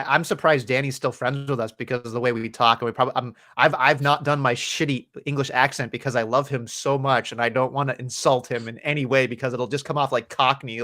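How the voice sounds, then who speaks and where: A man's voice: mid-range voice, bright, forceful tone. A man co-hosting a casual wrestling podcast, rambling and profane, often laughing as he talks. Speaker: podcast co-host.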